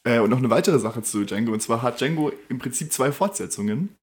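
A man's voice: mid-range voice, expressive. A man co-hosting a German film podcast, eagerly sharing trivia in casual chat. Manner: brisk, shares trivia eagerly. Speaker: podcast co-host.

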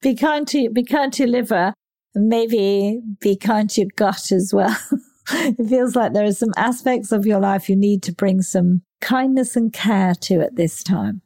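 A woman's voice: medium pitch, measured pace, warm tone. A woman hosting a podcast, agreeable and drawing out her guest with questions. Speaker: podcast host. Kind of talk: podcast conversation.

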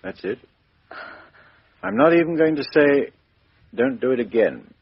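A man's voice: medium pitch, unhurried, vocal fry, breathy edge, heavily acted. An actor playing a good-humoured middle-aged male police chief inspector in a 1950s radio drama, hearty in manner. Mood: calm. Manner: hearty, lively. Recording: dull lo-fi 1950s radio recording.

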